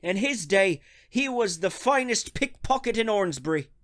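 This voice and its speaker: A man's voice: sits high, lively intonation, normal speed, a bit bright. A man acts out an officious constable in a radio play. He is blustery and suspicious, talking in colloquial working-class phrases with an accusing manner. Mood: scornful. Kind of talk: radio drama acting.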